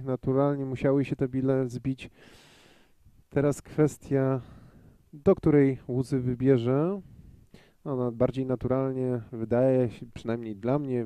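A male commentator calls a pool match at an unhurried pace. His voice is very dark and mellow, and he pauses often to think aloud. The booth sound is dry and close. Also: medium pitch, smooth, no room sound. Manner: measured, thinks aloud with many hesitations. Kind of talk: live sports commentary.

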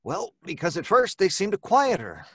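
An anxious husband in a vintage radio chiller, earnest and melodramatic. His halting lines rise and fall widely in a fairly high register, recorded close and dry. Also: huge pitch swings, slightly rough, dry recording, heavily acted. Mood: worried. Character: anxious, disbelieving husband of a disturbed wife. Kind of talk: old-time radio horror acting. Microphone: close studio microphone.

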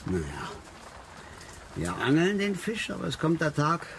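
An actor playing a gruff, stubborn middle-aged father and angler in a radio drama, talking colloquially and haltingly. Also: fairly high pitch, very animated, a bit bright, exaggerated voice. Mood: brooding. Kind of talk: German radio drama acting. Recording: noisy outdoor ambience behind the voice.

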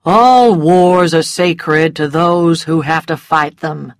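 A woman voicing a cynical, mocking Southern gentleman who speaks in a bored, lazy drawl, in a storybook reading. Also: lowish voice, slow pace, no echo.

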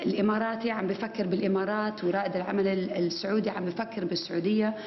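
A woman's voice: mid-range voice, fairly even intonation, rapid-fire, roomy sound, lo-fi sound, slight background noise. A woman gives a conference talk from a podium microphone. She gestures as she speaks and uses many fillers and restarts. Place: conference hall with stage.

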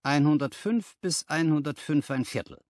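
A man's voice: on the high side, normal speed, a little mellow, no echo, studio quality, voice pushed up, close to an everyday voice. A man voicing a modest, polite young clerk with an earnest manner in an audiobook. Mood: eager, modest. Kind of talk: dramatized audiobook dialogue.

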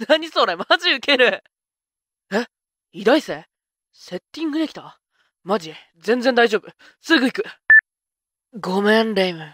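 A woman's voice: medium pitch, a bit bright. Woman playing a brash, slangy young witch. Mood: giddy. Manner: rough slangy speech. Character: brash, flippant, self-serving young witch. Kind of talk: comic anime-parody voice drama.

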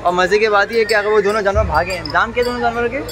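A man's voice: high-pitched, very fast. A man in his twenties with a Pakistani accent, chattily addressing viewers as a vlogger and repeating phrases for emphasis. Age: 20s. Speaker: vlogger and host. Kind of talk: enthusiastic vlog commentary and street interviewing. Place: outdoors at night in a crowded tented cattle display.

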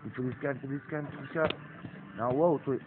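A man's voice: mid-range voice, normal speed. A young man with a Québécois accent who has a cold, commenting on a mobile racing game, swearing and apologizing for it. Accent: Québécois French. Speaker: gaming YouTuber. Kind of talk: casual gameplay commentary. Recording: phone-like lo-fi, faint hiss.

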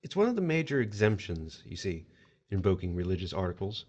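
A man's voice: sits low, sing-song, fairly quick, darkish tone. An actor playing an officious otherworldly male collector of souls in an audio horror drama, wry and formal as he explains procedure. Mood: formal, theatrical.

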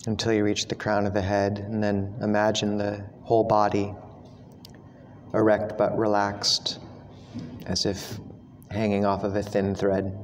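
A man leads a guided meditation in a low, even voice. He speaks slowly and gently, leaving long pauses between phrases. The recording has long hall reverb and some background noise. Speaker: monk, meditation teacher.

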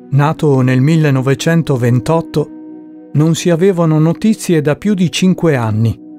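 A man's voice: on the high side, dark tone. A man reading scripted narration for a radio drama, with music under his voice.

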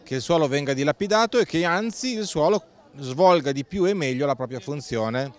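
A middle-aged campaign coordinator giving an earnest interview at a fair stand, speaking into a close handheld mic with a few hesitant fillers. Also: male voice, a little high, lively intonation, brisk. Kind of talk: on-camera interview statement. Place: indoor stand at a busy food fair. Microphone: handheld mic close below the face.

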